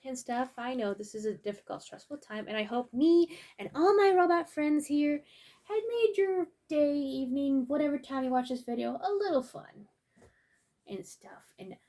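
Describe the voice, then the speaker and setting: A woman's voice: sits high, moderate pace, dark tone. A woman hosting a casual read-aloud storytime, making wry, slightly hesitant and apologetic asides. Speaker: storyteller and video host.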